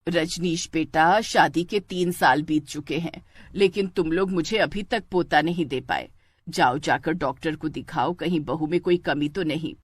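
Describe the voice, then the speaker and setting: A woman's voice: low-pitched, unhurried, husky, dry recording. A woman voicing a widowed village mother-in-law, insistent and caring, with an emphatic motherly address.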